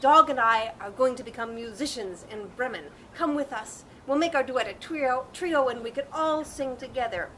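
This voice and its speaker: A woman's voice: high voice, moderate pace, few pauses, pushed and bright, poor audio. A woman voicing a friendly, grizzled old male donkey in a storybook, hearty and confident.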